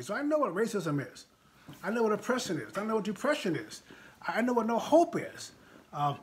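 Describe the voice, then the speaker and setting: A man's voice: sits high, a bit bright. An American man in his sixties speaking informally but with passion to live viewers, emphatic and repeating phrases for effect. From United States. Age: 60s. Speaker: live stream host and political speaker.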